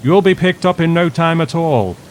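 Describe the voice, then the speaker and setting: Storybook part: male reader as kindly old traveler calling out cheerily, pitched well up. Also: lively intonation, normal speed, a little mellow, a little hiss or hum, close to an everyday voice. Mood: cheerful. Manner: calling out, reassuring. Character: cheerful elderly ship passengers calling farewell. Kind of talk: audiobook-style story reading.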